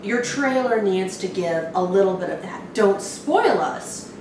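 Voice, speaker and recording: A woman's voice: mid-range voice, animated, unhurried, darkish tone. A woman co-hosting a reaction show, giving casual, blunt, sweary commentary with exasperated emphasis. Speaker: co-host of a horror trailer reaction show. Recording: very echoey noisy room, distant mic.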